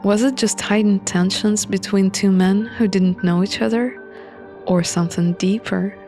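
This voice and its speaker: Woman reading a visual novel out loud, takes her time, expressive, bit of music and birds behind. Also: medium pitch, unhurried. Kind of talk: expressive narration of a story game playthrough.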